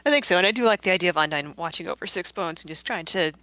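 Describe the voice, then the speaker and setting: Female creator commentator, giggly and musing, very lively intonation, close dry sound. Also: fairly low pitch, racing, no room sound, poor audio. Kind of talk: casual live commentary.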